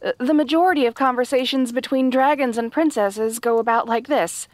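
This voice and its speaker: High-voiced, earnest young princess played by a woman. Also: brisk, dry recording, natural voice. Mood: flustered, amused. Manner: hesitant, polite, rambling earnest explanations. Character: kind, naive young princess, polite and earnest. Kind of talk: fantasy audio roleplay addressed to the listener.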